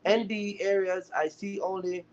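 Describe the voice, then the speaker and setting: High-voiced man, casual livestream host. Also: little pitch movement, moderate pace. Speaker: livestream host, trucking business mentor. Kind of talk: casual live chat to viewers. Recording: dull, lo-fi live stream audio.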